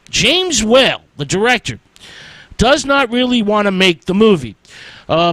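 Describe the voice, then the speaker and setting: A man talks through film history on a radio show, pausing often on "uh" as he gathers his thoughts. His voice sits high for a man and swings widely in pitch. He speaks at an unhurried pace, close to a dry studio microphone. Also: a bit bright, no echo, low-quality recording. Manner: frequent "uh" fillers, halting delivery. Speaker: radio host.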